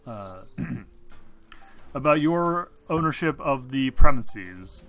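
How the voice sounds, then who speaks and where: A man voicing a polite, formal lawyer in a tabletop game, measured and businesslike in his wording. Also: medium pitch, animated, fairly bright tone, soft background music, exaggerated voice. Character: polite, formal male lawyer serving legal notice.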